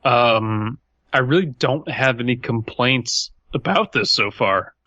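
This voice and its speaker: A man's voice: mid-range voice, slow, pushed and bright, no room sound, dull audio. A man co-hosting a podcast, giving casual commentary and reading stories, blunt and joking. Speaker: podcast co-host.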